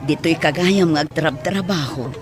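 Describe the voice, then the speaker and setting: A woman's voice: deep voice, normal speed, a crowd in the background, lightly acted. A woman playing a chatty, agreeable middle-aged village mother in a radio drama. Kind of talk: Ilocano radio drama acting.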